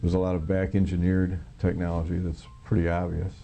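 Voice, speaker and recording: A middle-aged American man speaks in a very low, heavily fry-laden voice with little melodic movement. He gives plain-spoken, halting testimony as a former military witness in an interview. Clear instrumental music plays behind him. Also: level tone, warm tone. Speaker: former military air traffic controller, witness.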